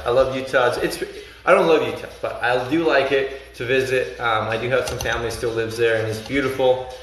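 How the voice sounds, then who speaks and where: A man's voice: mid-range voice, creaky, fairly bright tone. Earnest man in a very echoey room. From Argentina. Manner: earnest, encouraging, reads out viewer comments. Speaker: life coach. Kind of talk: casual livestream chat with viewers. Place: bright living room indoors. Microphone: phone at arm's length. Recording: very echoey room with faint music.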